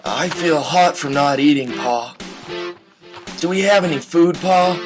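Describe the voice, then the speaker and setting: A man's voice: fairly high pitch, measured pace, close to an everyday voice. A man voicing a needy, hungry boy in a comic audio skit, whiny and repetitive. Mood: needy, pleading. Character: needy, pleading hungry boy pestering Paul. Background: guitar music.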